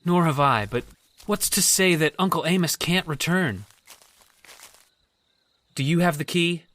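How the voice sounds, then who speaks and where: A man's voice: on the high side, unhurried, a bit bright. A man playing an uneasy character fascinated by the supernatural in an old-time radio horror drama. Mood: uneasy, intrigued.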